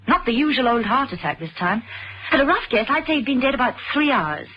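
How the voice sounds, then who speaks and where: Cool, arch British lady sleuth, high-pitched. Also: big pitch swings, brisk, pushed and bright, full character voice. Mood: wry, poised. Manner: arch, teasing, poised. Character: cool, witty, self-possessed woman crime-fighter. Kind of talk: vintage radio drama acting. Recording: noisy vintage radio recording.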